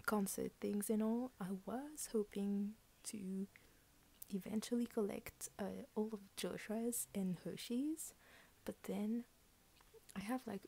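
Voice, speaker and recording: A woman's voice: medium pitch, gravelly, dark tone, slightly rough. A tired young woman whispering at home, gushing and rambling as she unboxes collectible cards. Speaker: unboxing vlogger.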